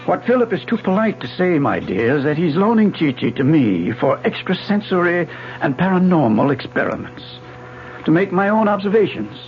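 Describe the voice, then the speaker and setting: An actor playing a smooth, condescending husband in an old radio drama, speaking in a knowing way. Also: male voice, on the high side, very animated, normal speed, a little hiss or hum. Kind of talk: 1970s radio drama acting. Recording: dull lo-fi old radio broadcast.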